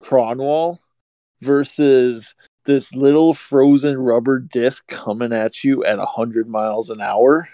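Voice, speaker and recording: A man's voice: medium pitch, steady tone, slow pace, dark tone, no echo. A man co-hosting a casual podcast, wryly arguing his point step by step. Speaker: podcast co-host. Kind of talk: casual chat.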